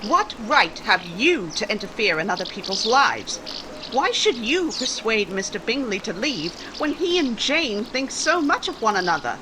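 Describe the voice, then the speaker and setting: A woman playing a proud, spirited young daughter in 1940s radio romance style, polite but crisp and pointed. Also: a little high, very animated, moderate pace, noisy background. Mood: indignant.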